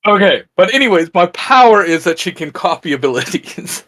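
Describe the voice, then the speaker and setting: A man with a slightly high-pitched voice chats informally as co-host of a fan show. His way of talking is teasing and jokey among friends. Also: sing-song, brisk, a bit bright. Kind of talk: casual geeky group chat.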